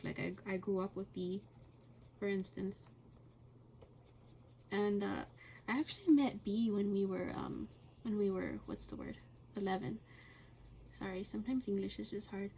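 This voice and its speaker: A woman chatting casually and rambling while coloring, halting often with fillers and laughing between thoughts. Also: medium pitch, fairly even intonation, vocal fry, mellow, a little hiss or hum. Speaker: channel host.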